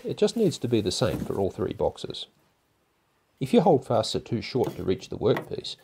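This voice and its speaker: An older man narrating a woodworking project in a calm, methodical, step-by-step way, as host of his own workshop channel. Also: fairly low pitch, creaky, a little hiss or hum. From Australia. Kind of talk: instructional voice-over narration. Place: home garage workshop.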